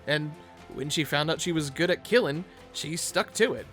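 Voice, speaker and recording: A man's voice: a little high, moderate pace, fairly bright tone, soft background music. A man narrating an informal fan video essay, with playful, conversational asides.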